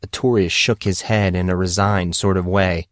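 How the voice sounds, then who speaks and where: A man narrating a scripted audio drama in a measured, dramatic way. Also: deep voice, fairly even intonation, no room sound, clean studio sound.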